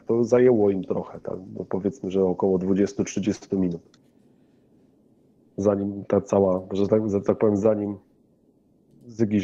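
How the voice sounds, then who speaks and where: A man's voice: lowish voice, mellow, dull audio. A man improvising as game master of a tabletop game, often repeating himself and checking with a tag question.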